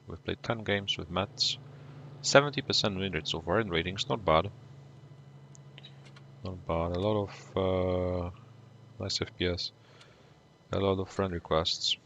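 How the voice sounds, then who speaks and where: A low-voiced man with a Polish accent talks through his match in an easy, unhurried way and stops to greet chat viewers. His voice is gravelly with a forceful, bright edge. A faint hiss of noise sits under it. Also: dull audio. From Poland. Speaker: game streamer. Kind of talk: casual live-stream gameplay commentary.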